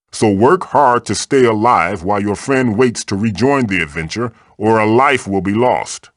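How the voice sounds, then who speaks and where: Casting: wise old magical mask mentor for a video game, theatrical and wry, very expressive pitch, dry close sound. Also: male voice, no room sound. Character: wise, wisecracking ancient magical mask guardian, male. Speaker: game guide character giving tutorials. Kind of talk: animated video game voice acting.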